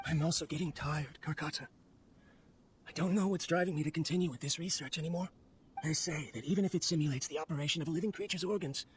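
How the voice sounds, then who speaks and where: A lonely, obsessive researcher from a video game, his voice pitched a little high. He speaks in a weary, wistful, brooding way, as if to his only creation. There is clear ambient noise behind him. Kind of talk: dramatic video game monologue.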